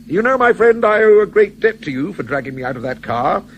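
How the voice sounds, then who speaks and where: An actor playing an urbane, old-fashioned Satan in a radio play, politely fussy and matter-of-fact about the uncanny. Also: male voice, high-pitched, big pitch swings, fairly quick, a bit bright. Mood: rueful, urbane. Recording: noisy 1970s radio drama recording.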